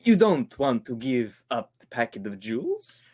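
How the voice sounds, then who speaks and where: A man's voice: medium pitch, big pitch swings, a little slow, a little mellow, husky. A man playing a suave, scheming doctor in an old-time radio drama, courteous and slyly theatrical. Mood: guarded, menacing. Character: suave, scheming male doctor who hires killers.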